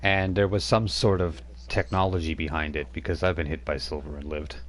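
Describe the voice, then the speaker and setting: A man narrating a tabletop game as game master, speaking in a halting way with many fillers. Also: low-pitched, fairly even intonation, a bit bright, slight background noise.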